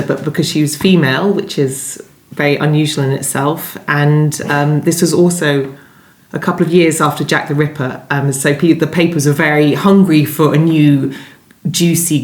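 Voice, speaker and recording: A woman with a deep voice talks as an interviewed novelist. She wanders through her answers, pausing and rewording. The room adds a clear echo. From London, England. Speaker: guest novelist being interviewed. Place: indoors at home. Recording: echoey room.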